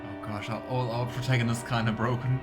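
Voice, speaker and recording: A young man casually commenting on a game he plays, musing aloud and unsure, often trailing into low mumbles. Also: level tone, a little fast, loud music behind the voice. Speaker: let's play host.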